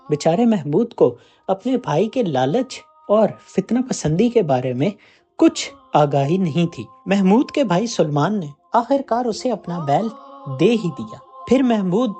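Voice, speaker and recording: A narrator telling a scripted moral story in an expressive, audiobook-like way. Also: medium pitch, breathy edge. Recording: music behind the voice. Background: background music.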